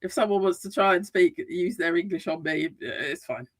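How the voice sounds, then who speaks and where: A woman's voice: fairly low pitch, moderate pace. A woman from the UK, a psychotherapist and life coach, chatting as a guest in a casual interview with many restarts and easy laughter. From United Kingdom. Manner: animated, frequent restarts, laughs easily. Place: Madrid, Spain.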